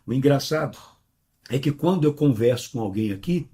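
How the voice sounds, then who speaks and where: Middle-aged pastor guy, mixed brazilian accent, husky and warm, calm storytelling. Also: medium pitch. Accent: mixed Brazilian Portuguese. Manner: earnest, measured, tells anecdotes with quoted voices. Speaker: pastor and church president. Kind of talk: interview guest, conversational storytelling.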